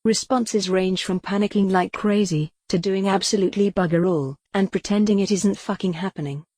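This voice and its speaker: A synthetic female text-to-speech voice playing a calm, wry retro computer who thinks she's a cat, deadpan in an audio comedy drama. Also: mid-range voice, normal speed, no echo, lightly acted.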